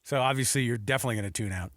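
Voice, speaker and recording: A man's voice: medium pitch, very fast, a bit bright, dry recording, studio quality. A man hosting a sports radio show with casual, teasing banter. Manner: playful, teasing.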